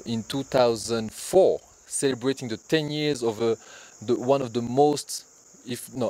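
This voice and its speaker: Forties guy from rwanda, a dj talking to students, earnest and thoughtful, mid-pitched voice. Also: darkish tone, poor audio. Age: 40s. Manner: earnest, reflective, speaks in repeated lists. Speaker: DJ leading a workshop. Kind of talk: talk to workshop students.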